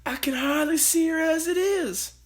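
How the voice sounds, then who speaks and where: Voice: very high-pitched, moderate pace, voice pushed up. A storyteller voicing an anxious mother who wails and pleads in alarm. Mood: frantic. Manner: wailing, pleading. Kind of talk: storybook read-aloud.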